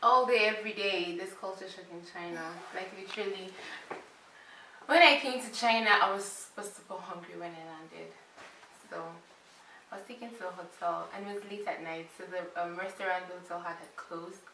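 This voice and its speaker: Lively woman telling a story, roomy echo. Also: on the low side, fairly quick, slight background noise. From Nigeria. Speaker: medical student. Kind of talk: casual storytelling. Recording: echoey room, far from mic.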